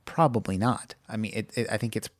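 Rambling man with a low voice. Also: fairly quick, dry recording, clean studio sound. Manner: rambling, lots of "like" and restarts. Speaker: podcast co-host. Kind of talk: casual chat.

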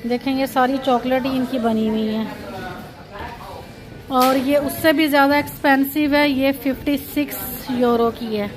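High-voiced woman touring a shop. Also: steady tone, unhurried, a crowd in the background. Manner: pointing things out, repeating "look at this". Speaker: vlogger. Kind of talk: casual walking tour narration. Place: chocolate shop and busy shopping street.

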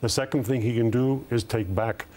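A man in his seventies giving political commentary as a panel guest, deliberate and formal with hesitant pauses. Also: medium pitch, fairly even intonation, a little mellow, a little hiss or hum. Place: television studio round table.

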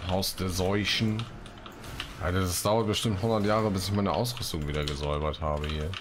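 Ein Mann kommentiert locker und scherzhaft sein Spiel, murmelt vor sich hin und liest Quests vor. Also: male voice, low-pitched, steady tone, normal speed, background noise. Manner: joking, muttering to himself. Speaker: Let's Play host. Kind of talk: casual gaming commentary with read-out quests. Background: game music.

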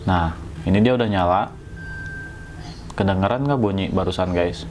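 Low-voiced Indonesian man, casual West Java accent. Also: normal speed, background noise. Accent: Sundanese-region Indonesian. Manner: informal, slangy, jokey. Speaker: motovlogger. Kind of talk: casual hands-on tutorial chat. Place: indoors at home.

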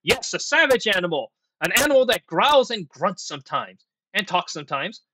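A man voicing a jolly, good-humoured young gentleman in a storybook reading, hearty and emphatic. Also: high-pitched, sing-song, normal speed, a little hiss or hum, exaggerated voice. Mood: amused, emphatic.

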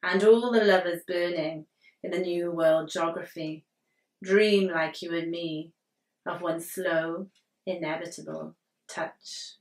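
Slow-reading woman poet in an echoey room. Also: on the low side, steady tone. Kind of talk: poetry reading. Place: living room, indoors on a sofa. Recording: roomy living-room echo, distant mic.

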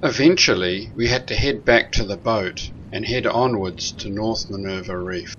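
A man narrating a sailing trip in a calm, measured, matter-of-fact voice-over. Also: lowish voice, lo-fi sound, slight background noise. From New Zealand. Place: recorded separately from the pictured scenes. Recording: voice-over with loud background music.

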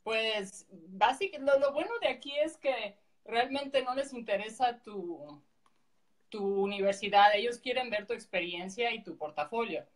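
A woman with a Mexican accent explaining things casually and in a measured way as a guest on a video call. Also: fairly high pitch, animated, poor audio.

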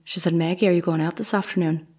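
A woman's voice: fairly low pitch, fairly even intonation, quick pace, a little mellow, breathy edge. A woman playing a plain-spoken nineteenth-century housemaid, testifying respectfully in a courtroom audio drama. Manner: plain, deferential. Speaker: actor.

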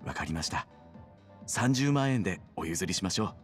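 Deep, lilting nightlife hustler. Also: male voice, lively intonation, normal speed, over music. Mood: relenting, bargaining. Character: smooth-talking, courteous male nightlife guide and wheeler-dealer. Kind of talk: Japanese video game cutscene acting.

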